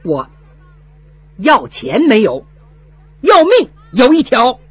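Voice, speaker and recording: A woman's voice: mid-range voice, wildly swooping pitch, unhurried, husky, no room sound, dull audio, exaggerated voice. A woman voicing a devoted, hot-tempered young son in a spoken story, talking earnestly and defiantly.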